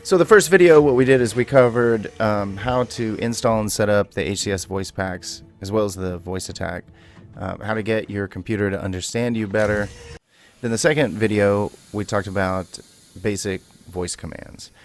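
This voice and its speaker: A man's voice: fairly low pitch, normal speed, faint music behind. A man hosting a gaming video, narrating casually and speaking voice commands to the game. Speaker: video host and gamer.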